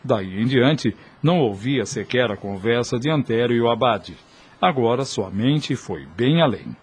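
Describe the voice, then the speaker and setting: A man's voice: mid-range voice, expressive, measured pace, breathy edge. A man narrating a radio drama in a scripted, literary storytelling style. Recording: music under the voice.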